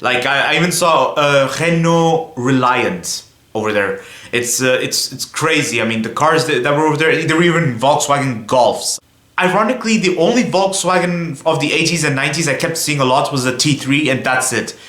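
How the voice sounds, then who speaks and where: A young man from Belgium gives a casual vlog monologue about cars. He talks in a chatty, rambling way and keeps calling out to his viewers. His voice is bright and a little high, and it rings in a roomy space. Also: moderate pace. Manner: chatty and rambling, often says "guys". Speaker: car vlogger.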